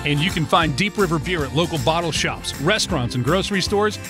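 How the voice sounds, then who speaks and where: A man's voice: a little high, animated, brisk, music in the background. A man presenting a scripted sponsor spot on the radio.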